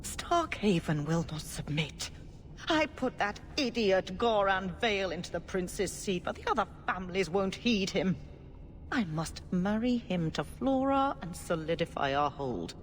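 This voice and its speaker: A woman's voice: lowish voice, big pitch swings, a little slow, background noise. A woman playing a haughty, power-hungry middle-aged noblewoman in a video game, intense in delivery. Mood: scheming.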